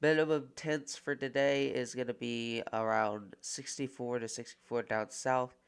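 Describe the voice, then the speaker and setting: Forecaster, male, sick and stuffy, gravelly but smooth tone, halting list reading. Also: mid-range voice, steady tone. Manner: reads place lists haltingly, stumbles on names. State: stuffed up with a cold. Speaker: weather forecaster host. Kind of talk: casual weather forecast video.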